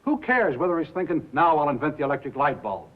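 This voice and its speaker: Fast-talking cynical radio man. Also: a little high, sing-song. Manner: matter-of-fact, wisecracking. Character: cynical, pragmatic radio colleague, brisk and offhand. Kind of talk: 1950s film drama dialogue. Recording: dull old film soundtrack.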